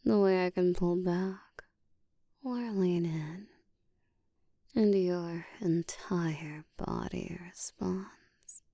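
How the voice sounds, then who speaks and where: A woman playing a dominant, seductive vampire in a whispered roleplay, speaking in a breathy, deliberate, teasing way. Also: fairly low pitch, warm tone, no room sound, studio quality, full character voice. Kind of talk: whispered roleplay audio, ASMR.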